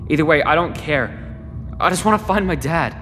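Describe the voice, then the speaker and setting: An actor playing a stubborn, defiant teenage captive in a sci-fi audio drama, with terse, confrontational delivery. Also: male voice, on the high side, expressive, brisk, bright, forceful tone. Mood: guarded, frustrated. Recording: long hall reverb under prominent music.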